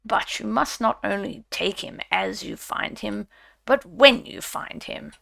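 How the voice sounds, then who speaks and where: A woman's voice: medium pitch, very animated, a little slow, vocal fry, pushed and bright, full character voice. A woman voicing a friendly but anxious male water rat in a storybook, emphatic and evasive. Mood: easygoing.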